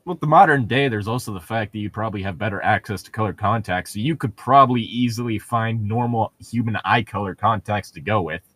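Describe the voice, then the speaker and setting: A man's voice: fairly low pitch, fairly quick, hardly any pauses, a bit bright, no echo. A man co-hosting a read-aloud podcast, mixing book narration with casual, irreverent joking. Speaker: podcast co-host reading a novel aloud with commentary.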